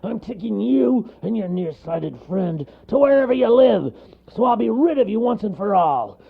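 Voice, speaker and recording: A man voicing a huge, grumpy bear who wants sleep, with a gruff, growling, exasperated roar in a children's story. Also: high-pitched, sing-song, moderate pace, phone-quality sound, pitched above the performer's own voice, exaggerated voice. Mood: grumpy, forceful. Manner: roaring, exasperated bellowing.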